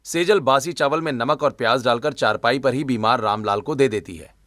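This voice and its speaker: A man's voice: medium pitch, brisk, a bit bright. A man reading scripted narration for a cartoon family story.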